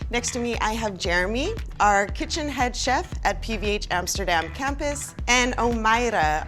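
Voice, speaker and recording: A woman's voice: fairly high pitch, fairly bright tone. A woman hosting a cooking workshop in a kitchen, upbeat and chatty, asking her guests questions. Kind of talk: casual hosted cooking show.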